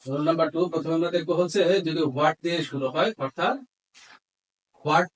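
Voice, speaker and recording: Higher-voiced Bangladeshi man teaching grammar. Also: brisk. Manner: mixes Bengali with English terms, dictates piece by piece. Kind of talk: instructional lesson, explaining step by step.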